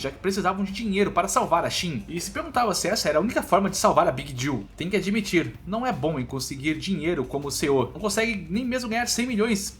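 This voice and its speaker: A man's voice: sits high, a bit bright, slight background noise. A Brazilian man narrating a scripted story recap in a dramatic, colloquial storytelling manner. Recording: faint music under the voice. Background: faint background music.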